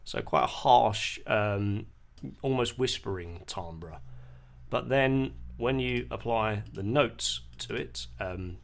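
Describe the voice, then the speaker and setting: A man explaining music software in an unscripted tutorial, hesitant and pausing mid-sentence as he goes. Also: lowish voice, vocal fry. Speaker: music producer giving a tutorial. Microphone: voice-over on a screen recording.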